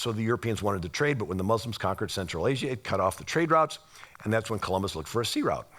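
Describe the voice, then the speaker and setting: Guy giving a history talk, kinda raspy voice, mid pitch, asks questions then answers them. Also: fairly bright tone. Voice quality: slightly raspy. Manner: rhetorical questions, explanatory. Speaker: lecturer. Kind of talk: informal history lecture.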